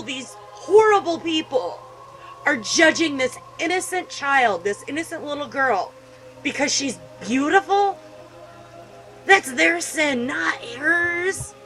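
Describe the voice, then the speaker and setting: A woman's voice: high voice, sharp tone, low-quality recording. A woman hosting a gaming video, reading a visual novel aloud and commenting with emotional, indignant feeling.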